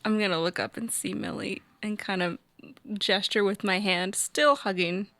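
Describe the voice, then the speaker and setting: A woman's voice: mid-range voice, fairly even intonation, moderate pace, no room sound. A woman casually describing her character's actions as a player in a tabletop roleplaying game. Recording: music loud under the voice.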